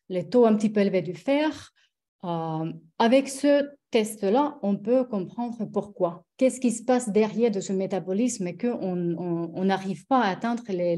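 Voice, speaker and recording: A nutritionist from Finland, a woman with a Finnish accent, presenting a webinar in halting speech full of fillers and restarts. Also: medium pitch, mellow.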